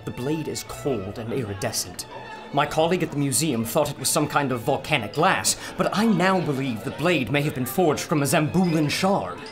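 A man acts out an earnest scholar in an audio adventure serial. He speaks with animated pitch about his subject, over clear background music. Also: normal speed, lightly acted. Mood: earnest, absorbed. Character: earnest scholarly museum expert on ancient artifacts.

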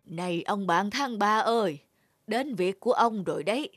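A gentle, grandfatherly old fairy tale wizard, voiced by a woman, pitched well down and unhurried. Music plays behind. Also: animated, natural voice. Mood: kindly. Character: kindly old male wizard of the months.

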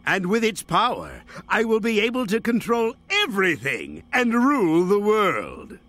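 A man's voice: sits high, huge pitch swings, unhurried, fairly bright tone, exaggerated voice. A man playing a gloating, pompous middle-aged mad-scientist villain in a video game cutscene. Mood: triumphant menace. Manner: pompous, grandiose, menacing.

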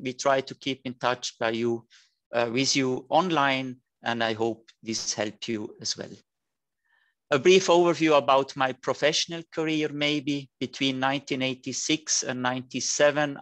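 A man in his fifties with a Liechtenstein German accent gives a friendly online lecture, his phrasing a little halting. His voice sits in a middle pitch and comes through dry and close over a video call. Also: a bit bright, no echo. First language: German (Liechtenstein dialect). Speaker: dental technician educator.

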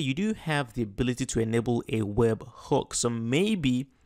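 A man narrating a screen-recorded tutorial in an easygoing, explanatory way. His voice sits in a middle pitch, and he stumbles and restarts now and then as he walks through each setting. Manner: casual, explanatory, with frequent fillers and restarts. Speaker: tutorial host. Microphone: voice-over on a screen recording.

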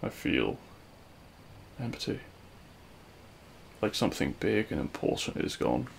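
A man playing a regretful, lovelorn supervillain in an audio roleplay, speaking in a hushed, halting, wistful way. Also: on the low side, sing-song, creaky, slight background noise, exaggerated voice. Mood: regretful, empty. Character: regretful lovelorn male supervillain addressing his fallen hero. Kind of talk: scripted ASMR audio roleplay monologue.

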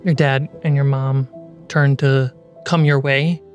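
Male game master, measured suspenseful narration, mid pitch, soft music behind. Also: flat delivery. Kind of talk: narrating a tabletop fantasy game.

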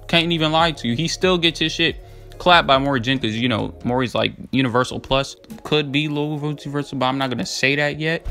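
A man's voice: fairly high pitch, pushed and bright. A young man giving casual, unscripted commentary as a video creator, rambling in a slangy, profane, hyped and emphatic way. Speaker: video creator debating anime battle matchups.

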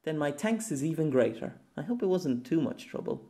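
A man's voice: a little high, sing-song, fairly quick, dark tone. A man voicing a cheerful, playful young woman from a fantasy world in an audiobook, earnest and teasing. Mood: grateful.

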